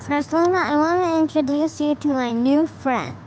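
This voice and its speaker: Cheeky, sunny young boy played by a woman, high-pitched, for an audio serial, slight noise behind. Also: moderate pace, a little mellow, dull audio, close to an everyday voice. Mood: excited. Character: cheerful, cheeky little boy. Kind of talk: audio drama acting. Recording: faint background noise behind.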